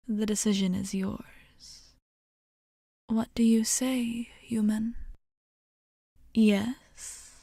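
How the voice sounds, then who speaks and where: Woman voicing a courteous female vampire bartender, ASMR roleplay, very unhurried, breathy whispers, gently seductive, close and dry. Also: medium pitch, no room sound. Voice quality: soft, breathy, often dropping into whisper. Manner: slow, measured, formal, gently coaxing. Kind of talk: ASMR roleplay, soft intimate delivery. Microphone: close microphone.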